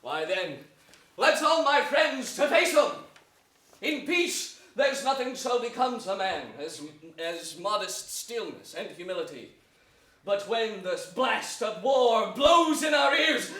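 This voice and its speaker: Distant echoey theatre recording, rousing young war leader, high bright voice, measured stage declamation. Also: male voice, lively intonation, heavily acted. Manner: measured, declamatory, swelling to shouts. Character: noble, rousing young warrior leader before battle. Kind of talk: theatrical Shakespearean stage oration. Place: large theatre stage, indoors. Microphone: far camera.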